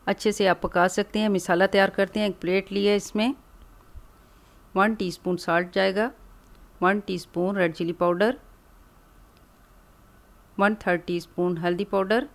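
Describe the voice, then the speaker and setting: Calm woman narrating a home cooking tutorial. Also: mid-range voice, unhurried, gravelly, long pauses. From Pakistan. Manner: calm, instructive, explains each step plainly. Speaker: cooking channel host. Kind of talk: step-by-step cooking tutorial voice-over.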